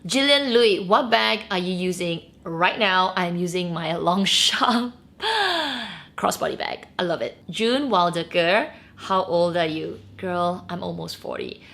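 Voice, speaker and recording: A woman's voice: lowish voice, expressive, slow, creaky, fairly bright tone. A Malaysian woman in her late thirties, with an accent tinged by years in Singapore, answering viewer questions in a bubbly, chatty way. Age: 30s. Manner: bubbly and chatty, with frequent laughs and squeals. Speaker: beauty and luxury vlogger. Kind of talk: casual Q&A chat to camera.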